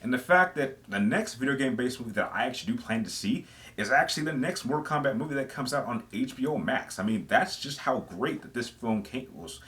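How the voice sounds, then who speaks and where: A man's voice: mid-range voice, animated, brisk, fairly bright tone. A man in his thirties giving opinionated, conversational countdown commentary as a reviewer, with an enthusiastic, emphatic way of talking. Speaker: video game movie reviewer, host.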